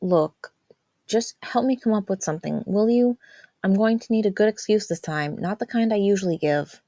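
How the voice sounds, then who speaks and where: A woman's voice: mid-range voice, normal speed, warm tone, dry recording, studio quality, pitched above the performer's own voice, lightly acted. A woman playing a sheepish, evasive teenage boy in a story, talking casually with some hesitance and defensiveness. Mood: defensive.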